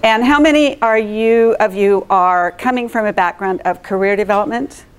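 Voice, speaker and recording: A woman's voice: medium pitch, normal speed, clean tone. A middle-aged woman with a big voice presents live in a meeting room without a mic, engaging the audience with questions. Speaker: presenter at a professional chapter meeting.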